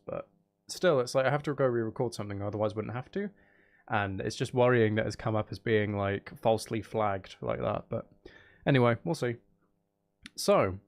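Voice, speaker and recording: A man who streams games, chatting casually and rambling in a self-deprecating way. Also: medium pitch. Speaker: game streamer and audiobook narrator. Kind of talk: casual livestream chat and game commentary.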